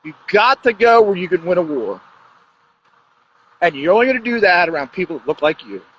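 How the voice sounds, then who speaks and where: A man with a high voice delivers a profane, rambling monologue in a blunt, crude way. The sound is dull and lo-fi. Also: very animated, a little fast, slight background noise. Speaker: podcast host.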